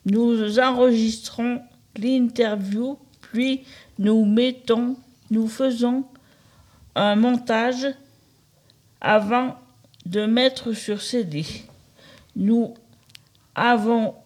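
A woman in her fifties speaks slowly and carefully in a fairly high voice, reading prepared lines for a community radio show. She restarts words now and then as she reads. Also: fairly even intonation, darkish tone. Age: 50s. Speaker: day centre participant.

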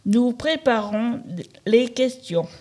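A woman in her fifties reading prepared statements slowly and carefully on a community radio show, restarting words at times. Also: fairly high pitch, warm tone. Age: 50s. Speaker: day centre participant.

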